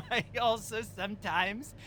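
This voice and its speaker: A man's voice: sits high, fairly even intonation, slow, sharp tone, a little hiss or hum, full character voice. A man playing a petty, insecure villain in an improvised comedy audio drama, theatrical and overeager but easily flustered as he pushes his riddle game. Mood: showy riddling.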